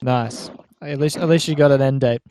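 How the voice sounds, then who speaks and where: A man's voice: medium pitch, fairly even intonation, normal speed, mellow, no room sound. A man with an Australian accent hosting a podcast in a laid-back, slangy, chatty interview style. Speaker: podcast host. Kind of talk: casual interview banter.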